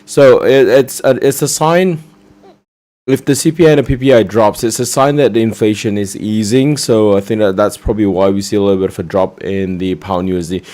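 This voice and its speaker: A man's voice: lowish voice, brisk, warm tone. A man losing his voice, presenting a live forex trading session and explaining charts step by step with many fillers.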